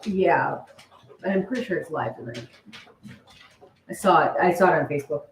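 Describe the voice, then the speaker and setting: A woman's voice: low voice, lively intonation, moderate pace, a little hiss or hum. A woman chatting casually and informally with viewers as co-host of a live stream. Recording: echoey room.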